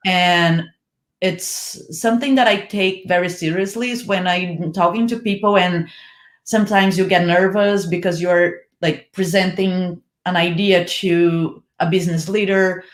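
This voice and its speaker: Brazilian woman, steady explainer, echoey room. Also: on the low side, measured pace. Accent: Brazilian Portuguese. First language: Portuguese. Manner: steady and explanatory, with frequent "like" fillers. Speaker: PR manager, panel guest. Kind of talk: casual online panel chat.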